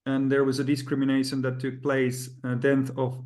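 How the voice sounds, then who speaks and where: A man from Finland hosting an interview over a video call, speaking haltingly with many fillers. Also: medium pitch, monotone, darkish tone. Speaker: host.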